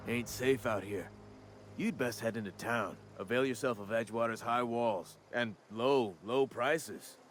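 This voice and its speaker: An actor playing a friendly, chatty frontier-town gravedigger in a video game, with a folksy, neighbourly way of talking. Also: male voice, mid-range voice, a little slow, slight background noise, lightly acted. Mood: friendly warning. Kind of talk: video game character acting.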